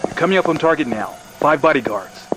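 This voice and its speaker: A man in an audio drama playing a brisk, concerned mission support operative. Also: fairly high pitch, lively intonation, background noise, close to an everyday voice. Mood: urgent, concerned.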